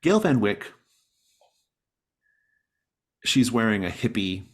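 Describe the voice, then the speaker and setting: A man's voice: lowish voice, big pitch swings, normal speed. A man acting as game master, narrating a tabletop roleplaying game off the cuff.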